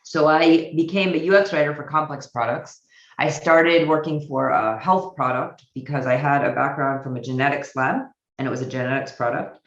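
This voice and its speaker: An American woman speaks at a virtual conference, her voice muffled and a little roomy, as if heard through a video call. She talks with a thoughtful, hesitant pace, pausing on ums and restarting sentences as she works out her thoughts. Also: low-pitched. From United States. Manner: thoughtful, many fillers and restarts. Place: home office in Israel.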